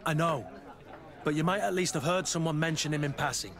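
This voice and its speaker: Casting: stern game captain, slightly high voice, blunt, impatient, gives orders. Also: male voice, fairly quick, a bit bright, some chatter behind. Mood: matter-of-fact. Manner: blunt, commanding. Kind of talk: video game cutscene acting.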